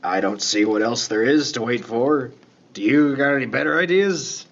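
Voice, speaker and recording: A man playing a laconic, folksy lighthouse keeper in a radio play, talking in a plain, unhurried, colloquial way. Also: medium pitch, highs cut off, natural voice. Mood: dry, matter-of-fact. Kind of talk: 1950s-set radio play dialogue.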